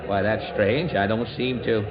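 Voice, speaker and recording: An actor playing a breezy, bluffing newlywed husband in a 1940s radio comedy, pompous and glib. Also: male voice, fairly low pitch, steady tone, moderate pace, crowd noise, close to an everyday voice. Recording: noisy, muffled 1940s radio recording.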